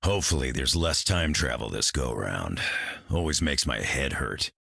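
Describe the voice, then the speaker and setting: An actor playing a gruff, weary male brawler in a video game, with a gravelly, blunt, folksy delivery. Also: very deep voice, sing-song, normal speed, bright, forceful tone, no echo, studio quality, full character voice.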